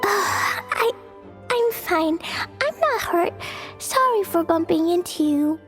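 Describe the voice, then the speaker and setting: A woman's voice: very high voice, expressive, slow, a bit bright, faint music behind, heavily acted. A woman voicing a sweet, innocent, bubbly little girl with an earnest childlike manner in a video game. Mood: tearful.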